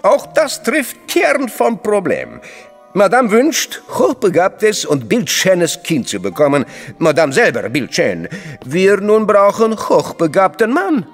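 An actor playing an eager, blunt Russian go-between in an audio drama, with a Russian accent and broken, emphatic grammar. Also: male voice, sits high, wildly swooping pitch, takes it slowly, exaggerated voice. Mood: solemn, insistent. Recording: long hall reverb, music underneath. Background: music.

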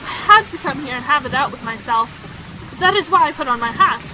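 A woman's voice: high voice, fairly quick, a little hiss or hum, heavily acted, rough recording. A woman playing a talkative, candid, headstrong young society lady in a period audio drama, with emphatic, dramatic delivery. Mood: chattily indignant. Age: young adult. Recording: steady rain sound effects behind.

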